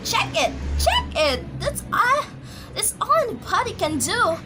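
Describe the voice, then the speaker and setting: Woman playing panicky invalid wife, very high voice, imperious, complaining, radio play, clearly noisy recording. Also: sing-song, a little slow, exaggerated voice. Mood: frantic, furious. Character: nervous, demanding invalid wife, shrill and panicky. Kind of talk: amateur radio drama acting.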